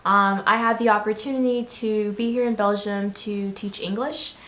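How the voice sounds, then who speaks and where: An echoey room recording of a young woman. Her voice sits at a medium pitch. She answers as an interview guest in a chatty, casual and slightly hesitant way. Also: steady tone, normal speed, fairly bright tone. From born in DR Congo, grew up in the United States. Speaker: interview guest, English teacher.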